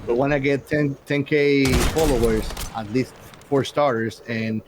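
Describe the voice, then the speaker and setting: Guy on a podcast interview, medium pitch, explaining stuff real casually. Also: fairly even intonation, measured pace, noisy background. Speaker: podcast host being interviewed as a guest.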